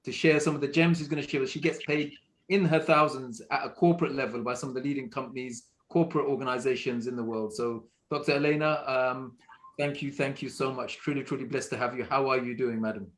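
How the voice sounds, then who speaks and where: A man's voice: mid-range voice, fairly even intonation, fairly quick. A man hosting an online interview, talking with many fillers and restarts over a video call. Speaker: host.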